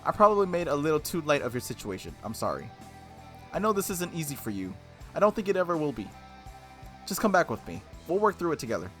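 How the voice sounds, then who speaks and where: A man's voice: a little high, big pitch swings, fast, fairly bright tone, faint music behind. A man voicing a caring, easily embarrassed young woman from a visual novel, speaking in an earnest, pleading way. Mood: gentle pleading.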